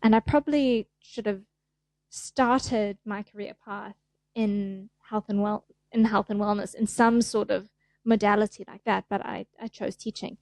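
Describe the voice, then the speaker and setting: A woman's voice: mid-range voice, steady tone, measured pace, a little mellow, no echo. A middle-aged woman with a South African accent, chatting as a podcast guest in an easygoing, self-deprecating way. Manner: chatty, self-deprecating, acts out little voices. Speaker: guest, fascia therapist. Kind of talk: casual podcast interview.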